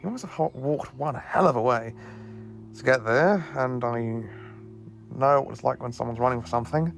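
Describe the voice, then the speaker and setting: A man's voice: mid-range voice, normal speed, creaky, faint music behind, lightly acted. A man playing an eccentric, kindly alien professor who travels through time, confident but given to hesitant asides in an audio drama. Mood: reassuring.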